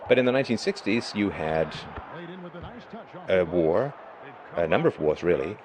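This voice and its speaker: A middle-aged man with a hoarse voice, hosting a live stream and talking through his views in an emphatic, lecturing monologue. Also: sits low, expressive, moderate pace, noisy background. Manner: emphatic, lecturing, with rising bursts of stress. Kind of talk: monologue commentary.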